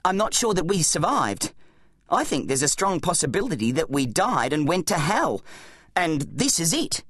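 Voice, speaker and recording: A woman's voice: sits low, big pitch swings, moderate pace, fairly bright tone, husky, no room sound, studio quality, exaggerated voice. A woman voicing an earnest, exasperated boy hero in an acted audiobook story. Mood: gloomy. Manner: insistent, glum.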